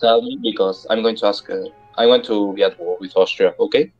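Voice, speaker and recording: A man playing a strategy game online, talking haltingly and searching for words, often clearing his throat. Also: lowish voice, steady tone, muffled recording, faint music behind. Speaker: fellow player. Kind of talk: casual multiplayer voice chat.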